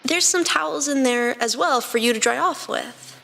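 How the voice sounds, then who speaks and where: A woman's voice: sits high, normal speed, creaky, bright, forceful tone, pitched above the performer's own voice, full character voice. A woman voicing a caring, bossy young girl in an audiobook-style story, exclaiming and instructing with urgency.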